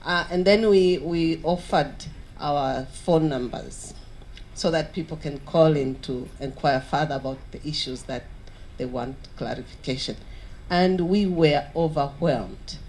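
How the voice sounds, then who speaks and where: A woman from Tanzania speaks into a handheld mic during a conference discussion. She talks earnestly and pauses on fillers. Her voice sits low, with faint room noise behind it. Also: a little mellow, poor audio. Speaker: audience member. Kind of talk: audience question at a conference. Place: large conference hall.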